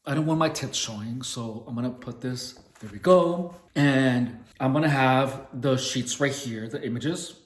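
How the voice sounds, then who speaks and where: A man with a heavy Mexican accent reading a book aloud slowly and stopping to puzzle over words, with casual swearing. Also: medium pitch. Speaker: book reader and explainer. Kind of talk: casual read-along of a book. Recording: echoey room.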